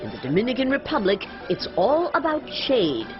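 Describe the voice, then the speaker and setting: A female news correspondent narrating a scripted report in a measured, expressive way. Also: a little high, highs cut off, loud music behind the voice.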